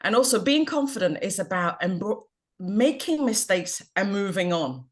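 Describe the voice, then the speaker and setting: A woman's voice: medium pitch, sing-song, moderate pace. A woman from Ghana, a confidence coach, speaking earnestly and reflectively as a guest on a video call. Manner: reflective, earnest and upbeat, laughs at herself. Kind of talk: guest on an online panel chat.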